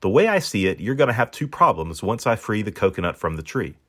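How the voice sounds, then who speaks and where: A man's voice: lowish voice, big pitch swings, fast talker, a bit bright. A man voicing a blunt, practical, slightly condescending seagull in a children's storybook reading. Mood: matter-of-fact. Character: cocky, matter-of-fact male seagull offering help.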